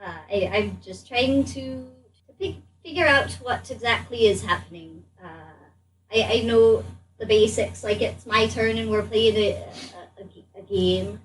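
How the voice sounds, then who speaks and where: A woman voicing a bewildered but polite adventurer in a tabletop game, speaking in a halting, stammering way. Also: fairly high pitch, close to an everyday voice. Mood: hesitant. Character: bewildered, polite traveled adventurer in a strange body. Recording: distant mic, background noise.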